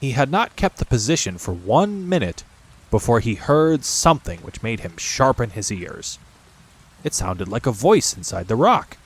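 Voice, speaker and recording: A man's voice: mid-range voice, very animated, moderate pace, breathy edge. A man narrating a classic storybook as a scripted audiobook reading.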